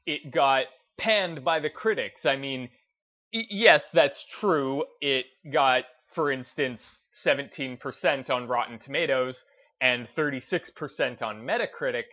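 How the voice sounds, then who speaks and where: Guy hosting a gaming video, slow halting talk, keeps rewording stuff, music in the back, kinda muffled audio. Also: mid-range voice. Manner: halting, reflective, often rephrasing himself. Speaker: host of a gaming video series. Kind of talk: unscripted video commentary over gameplay.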